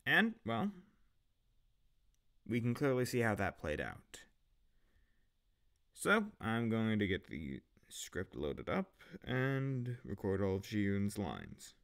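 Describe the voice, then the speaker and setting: A man's voice: fairly low pitch, wildly swooping pitch, takes it slowly, gravelly, long pauses, fairly bright tone. Casual, chatty man between recording takes. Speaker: audio drama director and voice actor. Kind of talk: casual behind-the-scenes bloopers chat.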